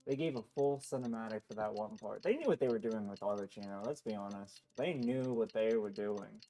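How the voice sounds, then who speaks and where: A man's voice: lowish voice, brisk, mellow. A man giving casual live commentary as he streams a game, easygoing, with muttered asides.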